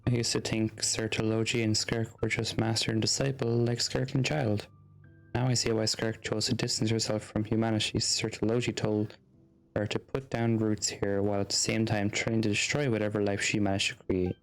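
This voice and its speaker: A man's voice: on the low side, level tone, normal speed, creaky, rough recording. A man streaming a video game, reading dialogue aloud and reacting to it.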